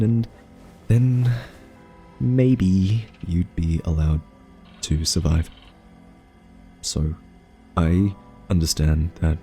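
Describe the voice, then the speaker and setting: A man playing an earnest, awkward scientist in a soft-spoken ASMR audio roleplay, talking haltingly with nervous ums. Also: low-pitched. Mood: grave, earnest, then pleading, resolute. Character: earnest, awkward male scientist pleading with a captive AI.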